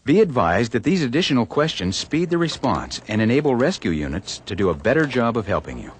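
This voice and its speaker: A man's voice: big pitch swings, fairly quick, breathy edge. A man giving emphatic, advisory scripted narration for a safety training video. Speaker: instructional narrator. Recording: noisy, with vehicle-like rumble.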